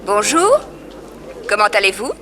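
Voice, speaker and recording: An actress playing a detective's brisk, assertive assistant, self-assured and pointed, in a 1960s French radio crime drama. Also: female voice, mid-range voice, big pitch swings. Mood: firm, challenging. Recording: noisy old radio drama recording.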